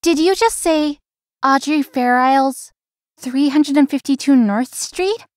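Anxious young florist, high-voiced. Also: female voice, takes it slowly, darkish tone, dry recording, clean studio sound. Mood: polite. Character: anxious, chatty young woman florist, polite but cheeky. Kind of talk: visual novel voice acting, inner monologue.

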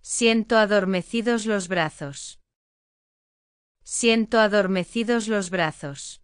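A woman's voice: mid-range voice, takes it slowly, clear, resonant tone, dry recording. A woman reading short phrases aloud twice each for a language lesson, with careful enunciation into a close mic. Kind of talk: slow repeated phrase reading.

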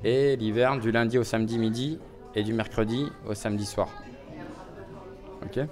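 A man in his forties, a restaurant owner, talking casually in an interview with a relaxed, hesitant manner and many fillers, into a handheld mic in a small restaurant. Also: lowish voice, moderate pace. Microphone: handheld reporter mic. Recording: music playing behind.

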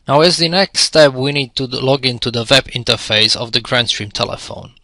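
A man's voice: medium pitch, moderate pace, sharp tone, dry recording. A man narrating an instructional product demo in a friendly, step-by-step explanatory way. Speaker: tutorial presenter.

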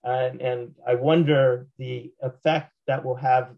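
A man in his sixties, slightly hoarse, answering as a panelist from a home office over a video call, measured and often restarting his words. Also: mid-range voice, fairly even intonation, dull audio. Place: small home office at night. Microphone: video call, laptop camera.

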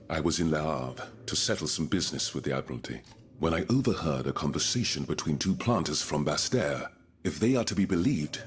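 A man's voice: sits low, a little hiss or hum. A man playing a proud, earnest, wealthy colonial reformer in a period game drama, speaking formally and eloquently, with gravity. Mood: grave.

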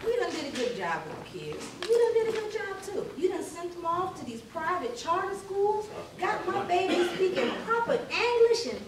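A woman's voice: very high voice, very animated, moderate pace, hardly any pauses. A woman playing a sassy, folksy older mother who teases and exclaims, acting in a stage play. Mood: sassy, teasing. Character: sassy older churchgoing mother and grandmother, teasing her grown son. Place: on stage in a theatre, far camera. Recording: noisy echoey theatre stage, far from microphone.

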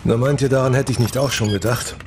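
An actor playing an adventurous, resolute spaceship captain in a science fiction audio drama, casual and confident. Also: male voice, medium pitch, steady tone, fast talker, noisy background, lightly acted.

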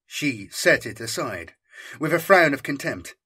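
A man narrating a science fiction audiobook in a measured, deliberate, dramatic storytelling manner. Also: mid-range voice, big pitch swings, pushed and bright, breathy edge.

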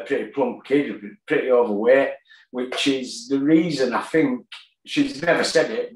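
A man, telling his story plainly in a video-call interview, with fillers and pauses. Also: medium pitch, darkish tone. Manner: plain-spoken, halting with fillers. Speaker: guest, boxer and gym owner. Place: kitchen at home, indoors. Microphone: tablet on a video call. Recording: echoey room.

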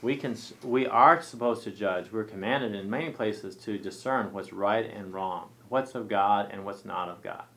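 Low-voiced man explaining calmly. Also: normal speed, few pauses. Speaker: co-host. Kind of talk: studio Bible discussion. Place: small studio set with armchairs.

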